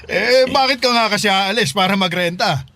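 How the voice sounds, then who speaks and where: A man's voice: high-pitched, normal speed, sharp tone. A man acting a menacing, middle-aged bully of a stepfather in a radio drama, taunting and goading. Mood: sneering, accusing.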